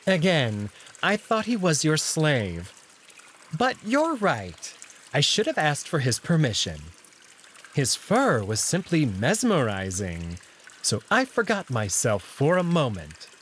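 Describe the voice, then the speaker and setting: A man's voice: fairly high pitch, wildly swooping pitch, slow pace, fairly bright tone, a little hiss or hum, exaggerated voice. A man voicing a smug, flirtatious male jackal in a visual novel, speaking with arch, mockingly polite theatricality. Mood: smug, mock polite.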